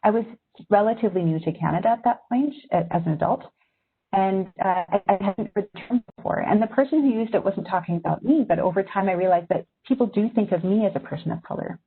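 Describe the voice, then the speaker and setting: A woman's voice: medium pitch, quick pace, husky. A woman who grew up in Syria, a magazine editor, giving a thoughtful, reflective webinar talk to journalism students over a video call. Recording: tinny video-call audio.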